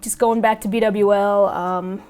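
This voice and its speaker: A woman with a mid-range voice, slightly raspy from a lingering cold. She hosts a casual podcast chat, talking in a chatty, excitable way and laughing often. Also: mid-range voice, measured pace. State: getting over a cold, a little raspy. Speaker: podcast host.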